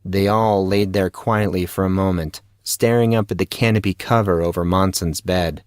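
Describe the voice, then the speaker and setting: A man narrates a fiction audio drama in a low register. The sound is dry, with very little room on it, and the delivery is scripted storytelling. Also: fairly even intonation, normal speed, dry recording.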